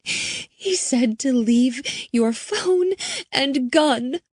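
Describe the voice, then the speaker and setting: A woman's voice: high-pitched, animated, very slow, no echo, studio quality, voice pushed up, full character voice. A woman voicing a frightened young girl who is crying and panicky, her voice breaking. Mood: terrified.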